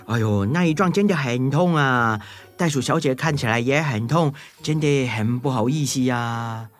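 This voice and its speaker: A man's voice: mid-range voice, normal speed, close to an everyday voice. A man voicing a flustered, apologetic, earnest character in a children's audio story, hesitant and given to exclaiming. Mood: sheepish, apologetic.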